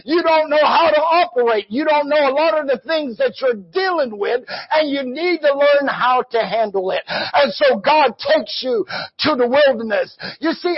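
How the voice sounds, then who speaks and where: A man's voice: very high-pitched, no room sound, dull audio. A man preaching an impassioned sermon in a declamatory, strained voice, with rhythmic refrains punctuated by "uh".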